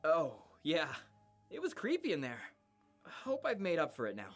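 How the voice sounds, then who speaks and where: An easygoing teenage prankster boy acted by a woman, low for a female voice and very animated in pitch. He talks casually and placatingly, as in a visual novel, with faint music behind. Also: normal speed, full character voice. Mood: amused, nonchalant. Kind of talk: visual novel character acting.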